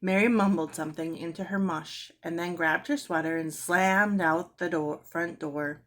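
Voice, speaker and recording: A woman's voice: on the low side, steady tone, normal speed, fairly bright tone. A woman reading a children's storybook aloud as an amateur narrator. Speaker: amateur audiobook reader.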